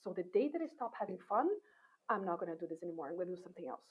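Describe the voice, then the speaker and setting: A middle-aged woman from Brazil whose first language is Portuguese, chatting as a guest in an interview, animated, laughing often and restarting her sentences. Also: on the low side, racing, husky. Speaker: guest, assistant professor. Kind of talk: casual interview chat. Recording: tinny phone-like sound.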